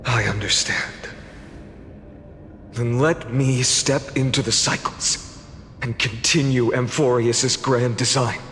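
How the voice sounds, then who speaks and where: An earnest, solemn young hero. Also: male voice, medium pitch, fairly even intonation, a bit bright, a little hiss or hum. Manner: measured and solemn. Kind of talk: game cutscene voice acting. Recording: long reverb over clear music.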